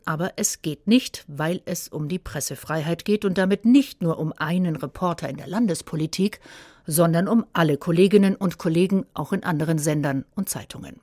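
A woman anchoring a regional news show from a studio. Her voice sits on the low side and the sound is dry and close. She reads her script in a measured, serious way. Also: mellow, no echo. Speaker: news magazine host.

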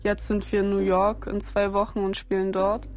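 A young woman from Berlin speaks in an even, level voice with little rise or fall, her pitch sitting mid-range. She talks calmly and reflectively, telling her own story for a documentary. Clear music plays underneath her voice throughout. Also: moderate pace. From Germany, Berlin. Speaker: interviewee. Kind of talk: personal documentary interview.

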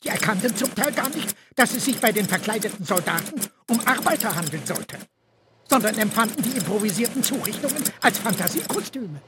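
A woman's voice: fairly high pitch, expressive, a little fast, noisy background, close to an everyday voice. A woman reading scripted literary narration in an audio drama.

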